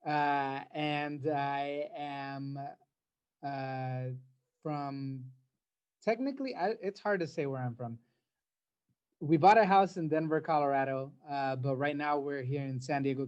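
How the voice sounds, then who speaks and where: A man casually introducing himself as a guest, halting as he searches for his words. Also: medium pitch, a little mellow, muffled recording. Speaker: guest monologuist.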